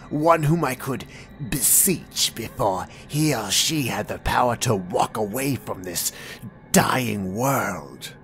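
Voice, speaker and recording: An actor playing a calm, courteous male vampire with a thin, dry voice, speaking slowly and with polite menace in a fan audio drama. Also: fairly low pitch. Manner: slow, measured and politely menacing.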